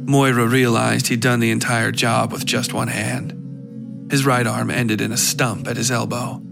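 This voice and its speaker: A man giving a scripted narration of a dark horror tale for a fiction podcast. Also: medium pitch, level tone, moderate pace. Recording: clear music behind the voice.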